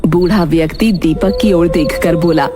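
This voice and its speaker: A woman's voice: on the low side, normal speed, music in the background. A woman narrator reading a scripted cartoon story.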